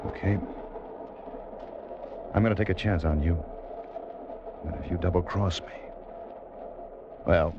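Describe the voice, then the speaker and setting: A man's voice: sits low, unhurried, long pauses, background noise. An actor playing a tough, wry agent who tells his own story in clipped hardboiled style in a 1950s radio thriller. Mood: hard, pressing. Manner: clipped, sardonic, hard-boiled. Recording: muffled 1950s radio recording, music behind.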